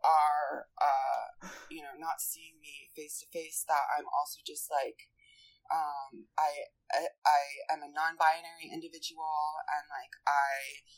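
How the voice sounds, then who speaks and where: A man with a high voice, a guest on a podcast, talking casually with many fillers and restarts. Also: little pitch movement, takes it slowly, poor audio. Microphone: podcast mic.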